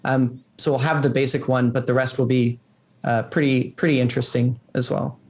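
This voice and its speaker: A man's voice: mid-range voice, little pitch movement, normal speed. A man being interviewed as a game developer, explaining in loose, informal, exploratory phrases peppered with "like". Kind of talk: conversational interview answers.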